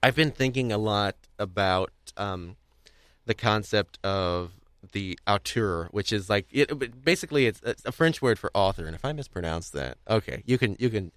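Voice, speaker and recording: A man's voice: lowish voice, lively intonation, normal speed, fairly bright tone, no echo, studio quality. A man hosting a casual interview, talking in a thoughtful, hesitant and rambling way. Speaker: podcast host interviewer.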